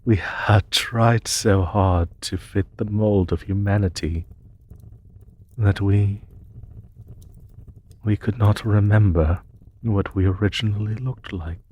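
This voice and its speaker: A man playing a solemn, wistful demon emperor in an audio roleplay, speaking in a husky voice with slow, measured, sorrowful pauses. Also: fairly low pitch, steady tone, darkish tone. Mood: bitter sorrow. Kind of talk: scripted audio roleplay monologue.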